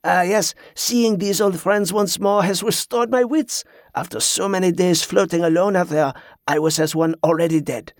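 Crafty old French pirate for a sea-adventure audiobook, acted by a male narrator: French accent, flowery and hot-tempered, raised pitch. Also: normal speed, hardly any pauses, no room sound, studio quality. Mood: grateful, relieved. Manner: effusive, flowery, exclamatory.

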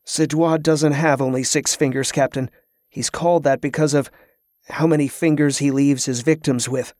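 A man's voice: on the high side, fairly even intonation, normal speed, darkish tone, dry recording, studio quality, natural voice. A man voicing a confident, cunning adventurer in an audiobook, speaking in an assured, persuasive way. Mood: calm, persuasive. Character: young male adventurer, confident, cunning, calming.

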